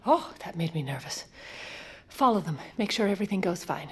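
A woman's voice: on the low side, expressive, fairly quick, darkish tone, slight background noise, close to an everyday voice. An actress playing a composed, scheming first lady in an audio drama, polite and controlled with an urgent edge. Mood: anxious poise. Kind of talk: audio drama acting and narration.

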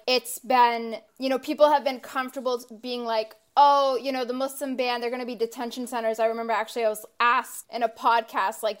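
A woman with a fairly high voice co-hosts a casual podcast. She talks with heat and swears freely, circling back on herself with fillers as she builds her point. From grew up in the US after her parents immigrated. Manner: impassioned, profane, rambling with many fillers. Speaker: podcast co-host.

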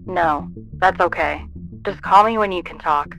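A woman's voice: lowish voice, a little slow, creaky, a bit bright, faint music behind. A woman playing a casual, concerned friend on a phone call in a naturalistic audio drama. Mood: let down.

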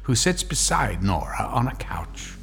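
A man narrating a horror story in a theatrical, dramatic style with deliberate phrasing. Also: mid-range voice, very animated, creaky, fairly bright tone, soft background music.

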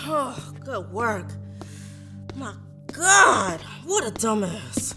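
Sharp, bossy facility staffer. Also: female voice, on the high side, very animated, drawn-out words, slightly rough, faint music behind, full character voice. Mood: cold, dry. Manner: commanding, curt. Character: bossy, callous female staffer at a sinister facility. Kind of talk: audio drama acting.

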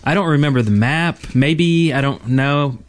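A man's voice: on the high side, moderate pace. A man co-hosting a podcast, chatting casually about video games. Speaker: podcast co-host. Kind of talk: casual gaming chat.

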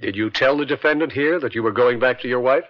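An actor playing an insistent district attorney in an old radio drama, questioning in a deliberate, theatrical way. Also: male voice, medium pitch, close to an everyday voice. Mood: probing, pointed. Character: probing male district attorney, insistent and pointed. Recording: dull lo-fi vintage radio.

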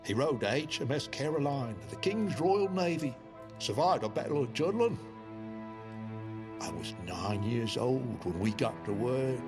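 An actor playing a very old English man with a London accent, telling his life story in a measured, theatrical, grave way for an audio drama. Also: medium pitch, animated, lightly acted. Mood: grave, reminiscing. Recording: music behind the voice.

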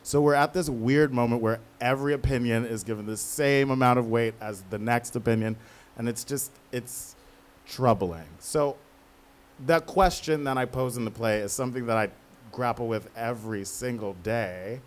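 A man's voice: mid-range voice, normal speed. A man answering as an interviewed playwright, candid and conversational, thinking aloud with emphatic asides.

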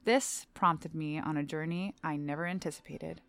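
A young adult woman, a stage actor, reading a scripted personal essay aloud in a measured, earnest, clearly enunciated way. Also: low voice, steady tone, fairly bright tone, no echo.

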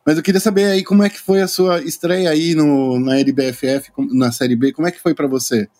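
A man's voice: fairly high pitch, fairly even intonation, brisk, darkish tone. A man hosting a casual video call interview, asking friendly, rambling questions. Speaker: interview host.

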